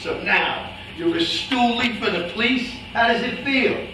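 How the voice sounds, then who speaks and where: In a noisy, very echoey room, a male actor plays a boxer's manager in a stage drama. The voice is fairly high for a man, fatherly yet evasive. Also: a little slow. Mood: cool, evasive. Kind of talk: staged play reading. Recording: very echoey room, distant mic, clear noise.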